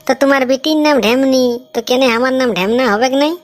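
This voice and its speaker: High-pitched brash cartoon bridegroom played by a woman. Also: quick pace, close to an everyday voice. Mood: mocking. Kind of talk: cartoon comedy dialogue.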